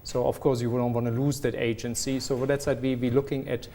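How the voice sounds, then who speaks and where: A man's voice: medium pitch, little pitch movement, brisk, mellow, slight background noise. A middle-aged man with a non-South African accent, a company executive answering business interview questions earnestly, often restarting as he searches for words.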